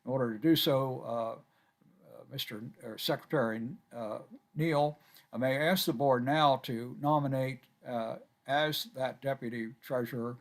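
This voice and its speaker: An older man chairing a board meeting on a video call, courteous and halting with many filler sounds. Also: mid-range voice.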